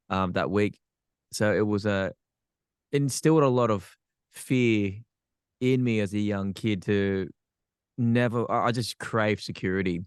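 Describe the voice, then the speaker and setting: Smooth low-voiced Australian man. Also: dry recording, clean studio sound. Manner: frank, self-deprecating humor. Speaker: podcast guest. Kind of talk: candid personal storytelling.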